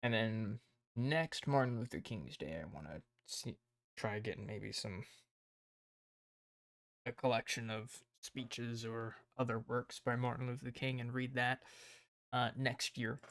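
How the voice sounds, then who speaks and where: Croaky-voiced man thinking aloud. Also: medium pitch, fairly even intonation, measured pace, fairly bright tone, no room sound. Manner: rambling, thinking aloud while planning. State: hoarse, tired voice. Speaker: streamer. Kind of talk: casual livestream chat.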